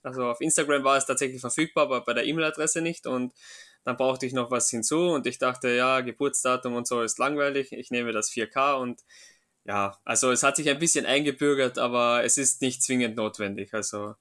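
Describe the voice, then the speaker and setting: A young man from Austria with a light Carinthian accent, a guest in a casual podcast chat, relaxed and jokey. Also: mid-range voice, brisk. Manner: relaxed, jokey, laughs easily. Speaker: podcast guest, film critic.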